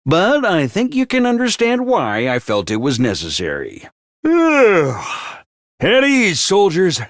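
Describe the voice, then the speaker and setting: A man's voice: sits high, sharp tone, no echo, clean studio sound, heavily acted. A man voicing a chipper, cheerfully ruthless commanding officer of child soldiers in a video game, with bouncy drill-sergeant cheer over cold pragmatism. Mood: matter-of-fact. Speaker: voice actor for a video game character. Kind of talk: game character voice lines.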